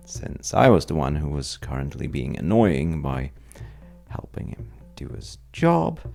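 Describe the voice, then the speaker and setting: Man acting a deep, gravelly, roguish bar stranger. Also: a little mellow, exaggerated voice. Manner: wry, teasing, hesitant storyteller with dramatic pauses. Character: well-dressed roguish stranger at a bar, wry trouble-seeking charmer. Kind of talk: intimate audio roleplay monologue addressed to the listener.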